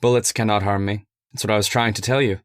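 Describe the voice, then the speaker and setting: A man playing a megalomaniac robot passing as human in an old-time radio horror drama, deliberate and grandiose. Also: lowish voice, steady tone, no room sound, studio quality, close to an everyday voice. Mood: menacing, triumphant. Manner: deliberate, grandiose villainous pronouncements. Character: megalomaniac robot disguised as a man, menacing and coolly certain.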